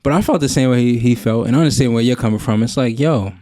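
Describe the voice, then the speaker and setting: Male podcast co-host, relaxed and thoughtful, mid pitch, dry close recording. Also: fast talker, no echo. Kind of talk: casual chat.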